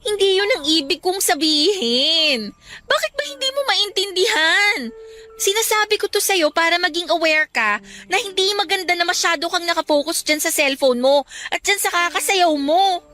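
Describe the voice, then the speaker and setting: Radio drama role: preachy, studious young woman, high bright voice, earnest, over music. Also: moderate pace. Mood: hurt, admonishing. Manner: earnest, admonishing. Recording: music bed under the voice.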